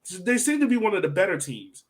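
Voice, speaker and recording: A man hosts a relaxed sports discussion in a conversational way. His voice sits at an ordinary pitch as he thinks aloud and steers the talk. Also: quick pace. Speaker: podcast host steering discussion.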